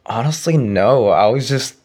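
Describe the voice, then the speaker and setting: A young man around twenty, raised in Iraq with Arabic as his first language, answering interview questions in a soft, hesitant way. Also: mid-range voice, expressive, measured pace. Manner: soft-spoken, hesitant, frequent "you know" fillers. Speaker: interview guest, young poet. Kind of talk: casual conversational interview.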